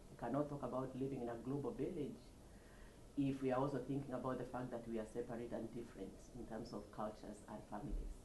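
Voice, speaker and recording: A woman, a professor and nonprofit founder, answering interview questions in an earnest, measured, lecturing way. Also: very deep voice, fairly even intonation. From Kenya. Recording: roomy echo, faint background noise.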